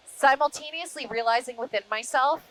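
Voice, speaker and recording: Female media guest, fairly high voice, animated conversational storyteller, slight background noise. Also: fairly quick, low-quality recording. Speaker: interview guest, media broadcaster. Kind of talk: conversational interview answers.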